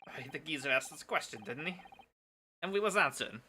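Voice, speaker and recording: Man doing a bright, sharp crook. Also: fairly high pitch, lively intonation, fast talker, a little hiss or hum. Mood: chummy. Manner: chummy, slangy. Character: cheeky Cockney crook witness in a courtroom game.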